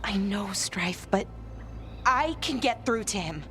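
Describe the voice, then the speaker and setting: A woman's voice: mid-range voice, lively intonation, slow pace, a bit bright. A woman playing an earnest, loyal elven sister in a fantasy game, with a pained, hesitant manner. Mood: troubled.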